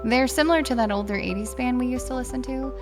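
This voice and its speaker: An actress playing a grieving, loving letter writer in an audio drama, speaking emotionally with hesitant, repeated phrases. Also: female voice, sits high, fast talker, over music, lightly acted. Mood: excited, fond.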